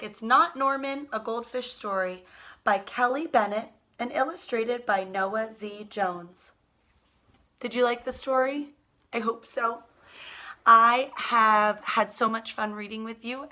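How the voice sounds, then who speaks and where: A woman reading a children's picture book aloud at storytime, expressive and drawn out, putting questions to her young listeners. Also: fairly high pitch, a little slow.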